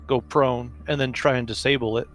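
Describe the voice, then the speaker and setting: A man's voice: mid-range voice, fairly even intonation, fairly quick, soft background music. A man playing a tabletop roleplaying game, casually talking through plans with the group.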